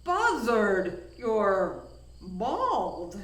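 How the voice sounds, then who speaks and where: A woman's voice: medium pitch, huge pitch swings, drawn-out words, a bit bright, recorded from a distance, exaggerated voice. A woman voicing a blunt male wolf in a storybook, emphatic and exclaiming. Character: loud, blunt male wolf leading the animals. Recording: echoey room with noise behind.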